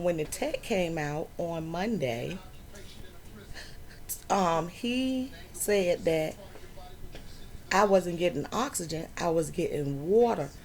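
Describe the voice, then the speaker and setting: A middle-aged woman vlogging from home, telling a personal story slowly with many fillers. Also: on the low side, darkish tone. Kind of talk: personal health story vlog.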